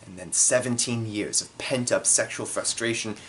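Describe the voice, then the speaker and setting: A man telling a comedic story from his own life in a theatrical, self-deprecating way, with dramatic pauses. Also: fairly low pitch, a bit bright, a little hiss or hum, rough recording.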